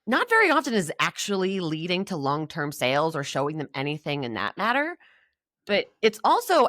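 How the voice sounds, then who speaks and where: Woman podcast guest, lower register, informal and opinionated, very dry recording. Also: fairly bright tone, no room sound. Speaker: podcast guest, marketing agency executive. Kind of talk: conversational podcast interview.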